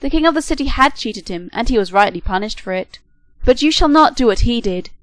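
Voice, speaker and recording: A woman's voice: fairly high pitch, expressive, brisk, no room sound, close to an everyday voice. A woman reading the part of a proud male warrior prince who speaks with reproach in a declamatory way, in a storybook reading. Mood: defiant.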